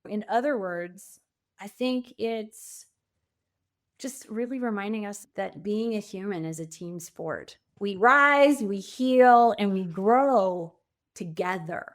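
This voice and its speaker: A woman's voice: medium pitch, takes it slowly. A middle-aged woman speaking as an interview guest, reflective and conversational. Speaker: podcast guest, coach and author.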